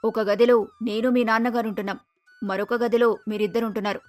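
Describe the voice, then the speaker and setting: A woman voicing a patient middle-aged mother in a cartoon moral story, gentle and reasoning. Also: a little high, fairly even intonation, quick pace, faint music behind, pitched below the performer's own voice, close to an everyday voice. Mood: concerned.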